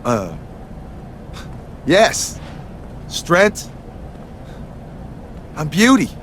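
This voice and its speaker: Game role: brawny wrestler smitten with love, somewhat high-pitched, noisy backdrop. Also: male voice, wildly swooping pitch, drawn-out words, fairly bright tone, heavily acted. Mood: lovestruck. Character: brawny lovestruck male brawler wrestler. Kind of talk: scripted wrestling game cutscene acting.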